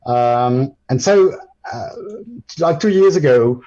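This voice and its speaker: Male presenter, French native speaker, mellow tone, stop-start delivery, muffled call audio. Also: medium pitch, lively intonation, slow. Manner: hesitant, many ums and restarts. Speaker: guest presenter. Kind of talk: live screen-share tutorial.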